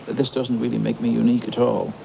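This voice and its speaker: Very gravelly man on noisy tape. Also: medium pitch, flat delivery, quick pace. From Newfoundland, Canada. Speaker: novelist being interviewed. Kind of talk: reflective interview talk. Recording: noisy archival documentary tape.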